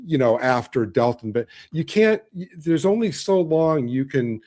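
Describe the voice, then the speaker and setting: Guy on a podcast making his case, mid-pitched voice, really leans on his points. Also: expressive, unhurried, dark tone. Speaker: podcast panellist. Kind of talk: casual fan discussion.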